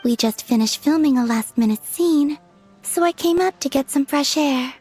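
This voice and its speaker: A woman voicing a gentle young woman in a video game who speaks softly and hesitantly, sometimes drifting into a whisper. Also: high voice, moderate pace, soft background music, lightly acted. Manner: soft and hesitant, trailing into whispers.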